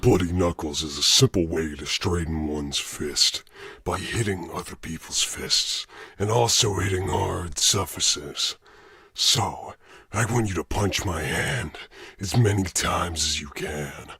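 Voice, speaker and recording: A man voicing a gruff, patient superhero combat mentor in an amateur comic dub, stern and instructive. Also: low-pitched, takes it slowly, pushed and bright, no room sound, clean studio sound, full character voice. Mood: calm, impressed.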